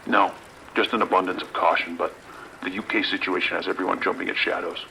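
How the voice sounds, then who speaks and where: A man's voice: deep voice, level tone, fairly quick, creaky, bright, forceful tone, slight background noise, exaggerated voice, low-quality recording. A man playing a stern, authoritative sheriff's lieutenant with a clipped delivery in an audio drama. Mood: guarded, commanding.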